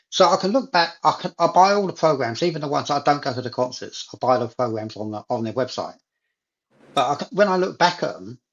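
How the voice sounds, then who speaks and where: A man's voice: medium pitch, fairly bright tone, dull audio. A man in a casual chat, talking enthusiastically and repeating phrases for emphasis.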